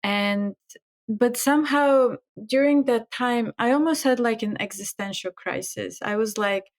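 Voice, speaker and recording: A woman's voice: a little high, a little slow. A middle-aged woman from Bulgaria with a Bulgarian accent, a guest telling her story earnestly in an interview and laughing often. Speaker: guest chef and author.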